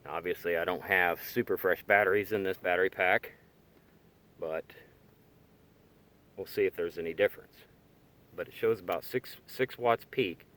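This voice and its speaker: Low-voiced man, halting casual demo. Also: a bit bright. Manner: tentative, hedging, halting. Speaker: hobbyist demonstrating radio gear. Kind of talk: casual hands-on demonstration. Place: inside a parked car. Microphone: handheld camera or phone.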